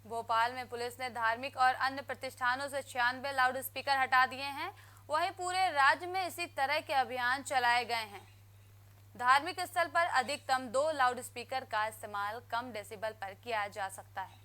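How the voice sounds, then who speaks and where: A woman reads the news in a formal, even newsreader manner. Her voice sits high and sounds bright and clear. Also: normal speed. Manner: crisp formal newsreader delivery. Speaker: news anchor. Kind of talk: scripted news reading.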